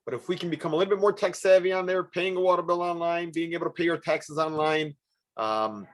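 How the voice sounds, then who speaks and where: A man speaking as a council candidate at a public forum, informal and a little halting. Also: fairly high pitch. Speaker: city council member and candidate.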